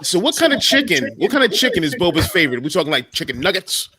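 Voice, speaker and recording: A man's voice: a little high, sing-song, fast, pushed and bright. A man chatting casually and informally with a group. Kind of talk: casual chat.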